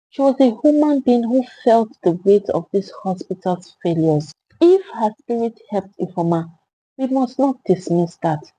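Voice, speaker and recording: A woman storyteller voicing a shaken, earnest hospital matron, pleading gravely in a story. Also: mid-range voice, dark tone, poor audio.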